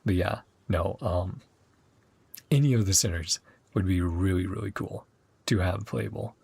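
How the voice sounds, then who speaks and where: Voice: low-pitched, a little slow, vocal fry, darkish tone, slightly rough, no echo. A streamer reacting to a game in a casual, chatty, rambling way, sometimes reading dialogue aloud. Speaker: gaming streamer reacting to a story quest. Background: game music.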